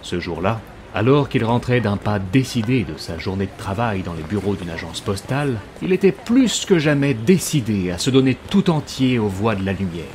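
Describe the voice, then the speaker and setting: A man's voice: lowish voice, sing-song, moderate pace, few pauses, a little mellow, a little hiss or hum. A man narrating a scripted audio drama as its storyteller.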